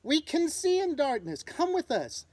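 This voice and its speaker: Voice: high-pitched, sing-song, unhurried, darkish tone, dry recording, voice pushed up. A storyteller voicing a loving, reassuring adult female bat, a mother in a children's storybook. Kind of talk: children's storybook read-aloud.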